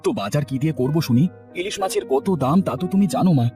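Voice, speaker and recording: A man's voice: on the high side, expressive, very fast, dark tone, natural voice. A man playing a poor, put-upon grown son who sells street snacks, grumbling and pleading in a cartoon. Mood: exasperated. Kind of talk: cartoon voice acting. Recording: faint music bed behind.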